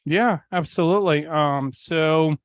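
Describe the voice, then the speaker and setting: A man's voice: on the high side, a little slow, clear, resonant tone, dry recording, studio quality. A man in his forties, originally from Bulgaria, telling his story as a podcast guest in a candid, rambling way, with many fillers. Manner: candid, rambling, with frequent fillers and occasional swearing. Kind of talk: casual interview storytelling.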